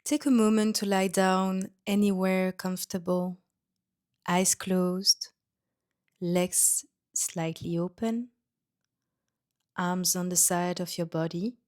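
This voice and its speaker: A woman guiding a yoga nidra meditation in a gentle, soothing manner, leaving pauses between short instructions. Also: on the low side, steady tone. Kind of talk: guided meditation.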